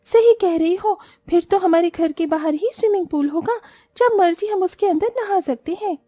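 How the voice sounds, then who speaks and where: A woman's voice: very high-pitched, normal speed, warm tone, no echo, voice pushed up. A woman voicing a haughty, spoiled and showy young rich sister-in-law in a cartoon family story. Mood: eager, excited. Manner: scornful, complaining, showy.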